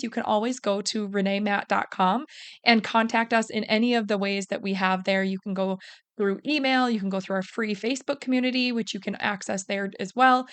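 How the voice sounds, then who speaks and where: Raspy-voiced woman hosting a podcast. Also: mid-range voice. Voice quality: raspy, tired-sounding. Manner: warm, encouraging, repeats thanks. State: hoarse, losing her voice. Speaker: podcast host. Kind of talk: casual solo podcast talk.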